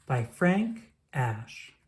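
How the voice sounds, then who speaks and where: A storyteller reading a children's picture book aloud with expressive narration. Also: medium pitch, drawn-out words.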